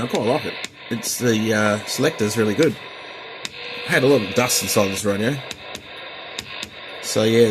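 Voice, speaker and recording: A man giving a casual, rambling, unscripted show-and-tell as a hobbyist, halting as he goes, with a slightly husky voice. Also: mid-range voice, background noise. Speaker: hobbyist presenter showing a restored CB radio.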